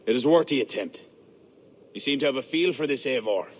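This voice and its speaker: An actor playing an ambitious medieval high king in a game cutscene, speaking in a grave, commanding, regal manner. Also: lively intonation, fairly quick, a little hiss or hum, lightly acted. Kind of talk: video game cutscene acting.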